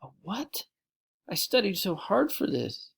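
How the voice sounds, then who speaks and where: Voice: medium pitch, very animated, moderate pace, gravelly, slightly rough, voice pushed up, exaggerated voice. A reader voicing an earnest, anxious young schoolgirl in an audiobook, pleading and breathless. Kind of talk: audiobook character voice.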